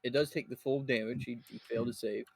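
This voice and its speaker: A man with a mid-range voice runs a tabletop roleplaying game. He gives rulings and narrates casually, pausing between phrases. The sound is dry, with little room around him. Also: medium pitch, steady tone, slightly rough, dry recording. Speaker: game master.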